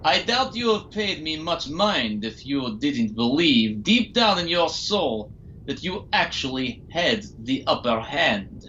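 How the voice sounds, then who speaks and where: A man's voice: on the high side, very animated, slow, sharp tone, muffled recording, a little hiss or hum, exaggerated voice. A man playing a gloating, controlling villain scientist in an audio drama, pompous and taunting. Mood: smug, taunting.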